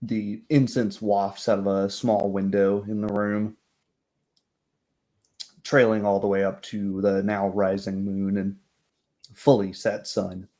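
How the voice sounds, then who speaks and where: A man with a fairly low voice runs a tabletop fantasy game. He narrates scenes as game master, improvising as he goes. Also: flat delivery, slow, long pauses, dark tone.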